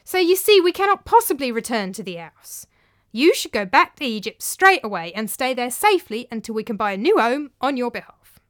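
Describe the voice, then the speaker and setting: A woman's voice: fairly high pitch, big pitch swings, a little fast. A woman voicing a scheming male servant who tells tales in an urgent, melodramatic way with stretched-out words, in a dramatised story. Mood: desperate, irritable. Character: desperate scheming male servant spinning a ghost tale.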